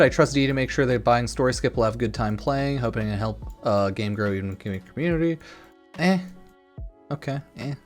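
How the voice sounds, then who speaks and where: A man giving casual, rambling opinion commentary as a gaming content creator, informal and sweary, sometimes reading comments aloud. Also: medium pitch. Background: music in the background.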